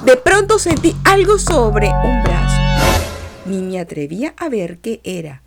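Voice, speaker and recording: Brief: a woman playing a sweet six-year-old schoolgirl, slow, very animated storybook reading. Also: fairly high pitch, loud music behind the voice. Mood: frightened. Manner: slow, sing-song, very expressive. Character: cheerful six-year-old girl telling her dream. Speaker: reader of a children's lesson.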